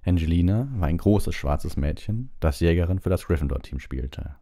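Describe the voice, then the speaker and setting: A man's voice: low-pitched, expressive, moderate pace, warm tone. A man reading a story aloud as scripted audiobook narration.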